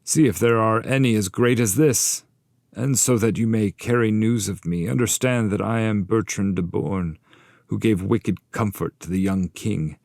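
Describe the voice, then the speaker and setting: A man's voice: on the low side, moderate pace, a little mellow, no room sound, clean studio sound, voice pushed up, close to an everyday voice. Man voicing a mournful headless damned soul, raised voice. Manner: declamatory, mournful. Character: headless damned soul holding his talking head, sorrowful. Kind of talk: dramatic epic poetry reading.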